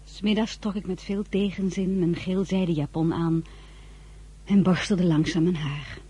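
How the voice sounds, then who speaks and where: Spirited young heroine, softly mellow voice. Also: female voice, lowish voice, fairly even intonation, moderate pace, breathy edge. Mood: wistful narration. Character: spirited, stubborn young Frenchwoman, narrator-heroine of a period romance. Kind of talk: Dutch radio drama acting and first-person narration.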